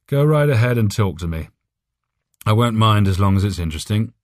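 A man's voice: fairly low pitch, expressive, fairly quick, dry recording, studio quality, natural voice. A man voicing a drunk, cynical mathematician in an audiobook, bored, offhand and sceptical. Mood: bored indifference. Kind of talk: audiobook character voice.

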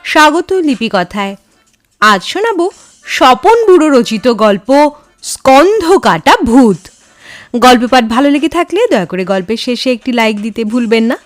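A woman narrates a story in a high, very animated voice. She takes her time over each phrase with measured, dramatic delivery. The recording is close and dry. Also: dry recording, soft background music. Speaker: storyteller, channel host. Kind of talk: expressive scripted story narration.

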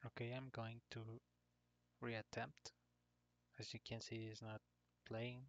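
A man narrating an informal software tutorial in short, hesitant phrases. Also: mid-range voice, flat delivery, slow pace. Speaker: tutorial presenter. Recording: dull lo-fi computer microphone.

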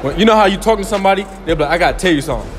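A young man answering a street interview in a shopping mall, animated and slangy, with music behind him. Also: high voice, very fast. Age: young adult. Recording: music over it.

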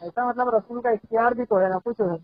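High-voiced hesitant man on a thin phone line. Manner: hesitant, conciliatory, halting. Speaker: caller in a religious debate. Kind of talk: conversational religious debate on a phone call. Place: recorded telephone call.

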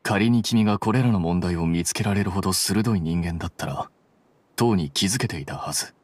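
An actor playing a coolly rational young scholar in a video game, explaining things in a measured, detached way. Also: male voice, low voice, darkish tone, no echo. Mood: cool, composed. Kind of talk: Japanese video game voice acting.

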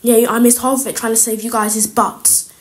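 A woman's voice: on the high side, little pitch movement, normal speed, close to an everyday voice. A woman playing a scheming, cliquey schoolgirl in an improvised school role-play, chatty and slangy. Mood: gossipy, complaining. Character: scheming, cliquey schoolgirl plotting against teachers.

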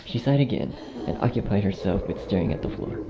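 A man's voice: on the low side, expressive, brisk. A man reading a scripted story aloud as its narrator. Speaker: narrator of a horror fan fiction. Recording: muffled playback under loud music. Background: loud music.

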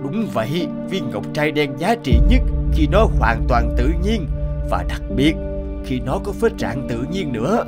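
An actor playing a stout, middle-aged connoisseur in an animated fable, grave and admonishing, explaining in measured phrases. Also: male voice, medium pitch, loud music behind the voice.

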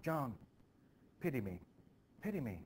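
Man reading lovesick young woman, slow, dramatic. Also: mid-range voice, big pitch swings, a little mellow, slight background noise, pitched above the performer's own voice. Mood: desperate.

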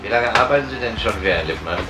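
An actor playing a sober, persistent police detective in a radio crime drama, questioning deliberately. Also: male voice, on the low side, animated, music in the background, natural voice, low-quality recording. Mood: probing. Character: sober, persistent male police detective investigating a missing person.

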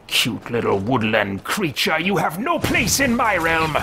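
A man voicing an arrogant wizard mercenary who talks to himself, in a pompous, theatrical, drawn-out manner while playing a game. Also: on the high side, huge pitch swings, music in the background, full character voice. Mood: musing. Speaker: let's play roleplayer. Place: small room with bookshelves, indoors. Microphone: headset mic at a desk webcam.

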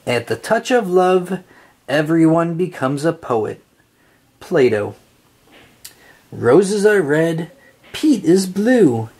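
A storybook reader reading a children's picture book aloud in an expressive, sing-song way with drawn-out phrases. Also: medium pitch, breathy edge.

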